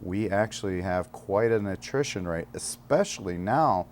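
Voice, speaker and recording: Male interviewee, union spokesman type, deep pitch, faint noise around him. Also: expressive, normal speed, darkish tone. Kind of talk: interview answers. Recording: noticeable ambient background noise.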